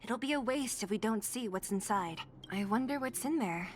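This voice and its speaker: A woman's voice: on the high side, lively intonation, moderate pace, slight background noise, lightly acted. A woman voicing a curious, cautious young heroine in a video game, thinking aloud in a hesitant manner. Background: music.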